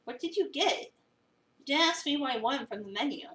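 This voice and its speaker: A woman's voice: sits high, expressive, fairly quick, a bit bright. A woman playing a sassy, sarcastic young cafe employee in a dramatic reading of a visual novel. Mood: cheeky. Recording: roomy, echoey, distant mic.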